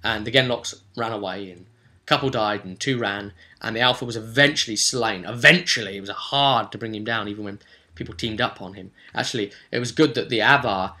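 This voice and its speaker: A man rambling through a vlog as a game master, animated and emphatic, repeating words for stress and slipping into imagined players' remarks. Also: lowish voice, fairly quick. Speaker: tabletop game master and vidcast host. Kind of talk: rambling vlog commentary on a roleplaying game session.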